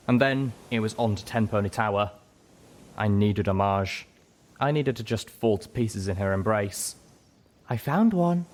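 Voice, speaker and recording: A man reading a first-person story aloud as an expressive scripted narration. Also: on the low side.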